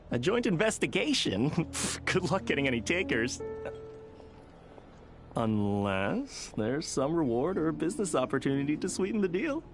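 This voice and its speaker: A man's voice: a little high, wildly swooping pitch, unhurried, pauses often, over music, heavily acted. A stammering, scoffing townsman. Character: flustered townsman, cynical about others. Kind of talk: video game cutscene voice acting.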